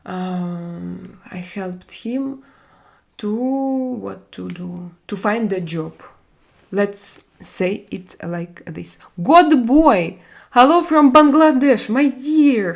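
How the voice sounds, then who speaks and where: A Russian woman with a Russian accent, hosting a casual live-stream chat in English, her second language, often pausing with "uh" as she searches for words. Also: mid-range voice, expressive, gravelly. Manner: halting, frequent "uh" fillers while searching for English words.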